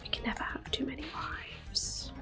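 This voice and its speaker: A woman giving gentle, unhurried, soft whispered ASMR commentary on a game as the host. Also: music in the background.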